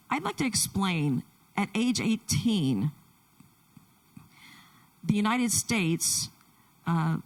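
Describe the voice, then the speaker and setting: A woman pastor introducing and interviewing a guest at a church service, speaking in short, deliberate phrases. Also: medium pitch, distant mic, poor audio. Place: church sanctuary.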